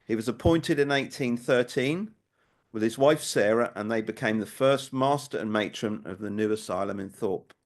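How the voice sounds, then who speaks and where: An older man, in his sixties or beyond, with a Norwich accent, giving a prepared history talk in a measured, rhetorical way. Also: medium pitch. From Norwich, England. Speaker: local history speaker.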